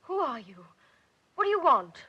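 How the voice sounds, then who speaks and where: An actress playing an indignant young Englishwoman with an English accent, speaking in sharp, clipped tones in a 1930s film. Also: extremely high pitch, big pitch swings, moderate pace, lo-fi sound. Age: young adult.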